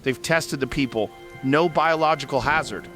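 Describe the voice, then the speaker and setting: A man's voice: a little high, normal speed, fairly bright tone, soft background music, close to an everyday voice. A man acting a curious, skeptical talk show host in an audio drama, probing in a conversational way.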